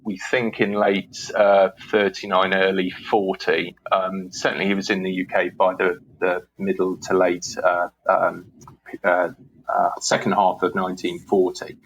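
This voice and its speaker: A man's voice: sits low, completely flat delivery, measured pace, fairly bright tone, low-quality recording. A man from the UK, in his forties, answering interview questions in a hesitant way with many restarts and fillers. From United Kingdom. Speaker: guest, historian. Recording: faint background noise.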